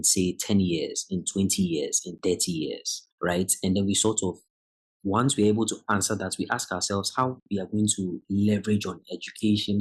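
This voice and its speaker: A man's voice: low voice, breathy edge, rough recording. A man speaking as a guest educator on a podcast, thinking aloud and often restarting his phrases. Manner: reflective, restarts phrases, rising emphasis on key words. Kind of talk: podcast conversation.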